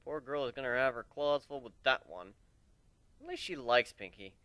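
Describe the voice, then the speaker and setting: A man's voice: mid-range voice, lively intonation, normal speed, sharp tone, no room sound. A man narrating a first-person story as a dramatic reading.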